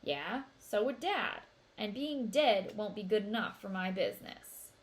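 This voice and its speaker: A woman's voice: mid-range voice, animated, unhurried, lightly acted. A woman voicing an upbeat, boastful teenage big brother in a storybook read-aloud, with playful, emphatic delivery. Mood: hopeful.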